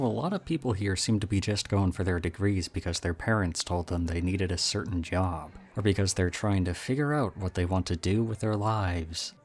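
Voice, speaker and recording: A man acting in a roleplay audio drama as a charming, slightly creepy young college student, chatty, self-deprecating and softly teasing. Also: deep voice, lightly acted.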